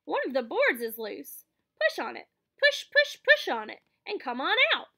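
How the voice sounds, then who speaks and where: A woman puts on a chattering female goose with a high, bright voice. She talks fast and says her words two or three times. Her pitch leaps all over. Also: huge pitch swings, gravelly, exaggerated voice. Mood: excited. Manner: stutters repeated phrases.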